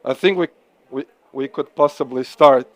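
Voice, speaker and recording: A man with a Croatian accent hosting a live startup pitching event, upbeat and encouraging. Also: normal speed, dry recording. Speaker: host.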